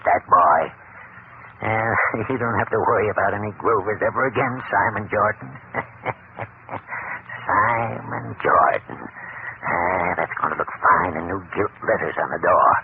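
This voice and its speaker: A man's voice: low-pitched, vocal fry. A man playing a cruel, greedy old schemer with a snarling, gloating manner in an old-time radio drama. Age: older. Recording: scratchy, tinny, noisy vintage radio recording.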